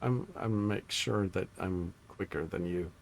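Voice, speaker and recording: Slow, low-voiced man in his forties. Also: vocal fry. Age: 40s. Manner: relaxed, drawn-out, teasing. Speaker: flight sim channel host. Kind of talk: casual group chat while flying.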